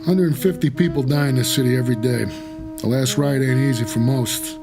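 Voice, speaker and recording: Noir radio-play lead male actor: weary, plain-spoken taxi driver, gravel in the voice, faint musical backing. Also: medium pitch, fairly even intonation, a little fast, music in the background, lightly acted. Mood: brooding, wry. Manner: hard-boiled, laconic, plain-spoken. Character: world-weary, wry male cab driver for the dead. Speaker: narrator and lead character. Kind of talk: noir audio drama narration and dialogue.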